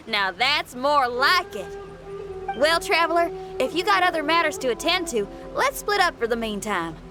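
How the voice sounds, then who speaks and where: Young game rocker: upbeat, headstrong, folksy, with a high, lively pitch. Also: female voice, unhurried, music in the background. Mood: excited, friendly. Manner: folksy, dropped g's, enthusiastic. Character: spirited young female rock musician, upbeat and headstrong. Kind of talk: video game character voice acting.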